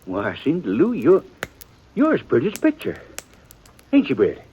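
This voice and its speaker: An excitable folksy frontier peddler. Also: male voice, mid-range voice, normal speed. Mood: warm, pleased. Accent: rural American. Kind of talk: old-time radio western acting. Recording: muffled, noisy old radio broadcast.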